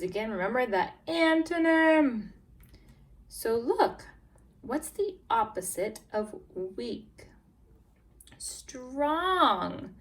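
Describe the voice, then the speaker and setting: A woman teacher doing a read-aloud lesson for young English learners, expressive and encouraging, stressing key words and prompting listeners with questions. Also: mid-range voice. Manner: expressive, exaggerated storytelling, emphasizing key words. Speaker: teacher reading a picture book to English language learners.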